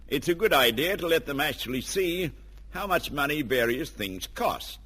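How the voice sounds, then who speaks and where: A man's voice: medium pitch, fairly bright tone, slightly rough. An Australian man announcing on vintage radio, delivering a scripted sponsor message. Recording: old radio recording with music.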